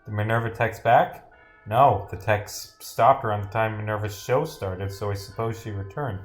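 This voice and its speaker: A man's voice: lowish voice, moderate pace. Rambling male game streamer with music behind. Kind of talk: casual livestream playtest commentary, reading dialogue aloud.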